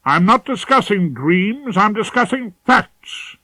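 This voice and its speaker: A man's voice: sits high, expressive, slow, bright, forceful tone, no room sound, pitched above the performer's own voice, exaggerated voice. A man playing a wry, dogged old male defence barrister in an audiobook, theatrical and insistent in manner. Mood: insistent, accusing. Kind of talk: audiobook character dialogue.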